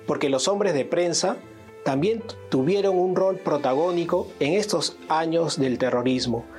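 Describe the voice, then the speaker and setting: A man delivers scripted documentary narration. His pitch is a touch above average for a man, and light music runs underneath his introduction. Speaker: program narrator. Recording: light music bed behind the voice.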